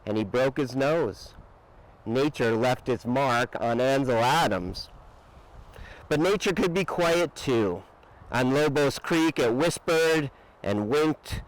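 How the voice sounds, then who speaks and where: A man reading a children's picture book aloud with expressive, dramatic emphasis and drawn-out words. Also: mid-range voice, smooth, rough recording. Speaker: storybook reader.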